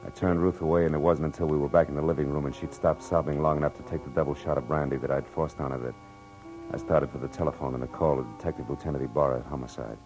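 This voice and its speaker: An American actor playing a wry, tough private detective in a 1940s-style radio drama, with clipped delivery and first-person narration. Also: male voice, extremely low pitch, fairly even intonation, quick pace, poor audio. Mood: grim narration. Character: hard-boiled, wisecracking male private detective narrating his case. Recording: old radio drama with music.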